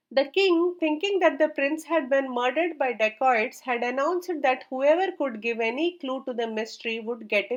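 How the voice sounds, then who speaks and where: A woman storyteller reading a folk tale aloud in a clear, measured way. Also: high voice. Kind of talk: scripted storybook narration. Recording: dull lo-fi recording.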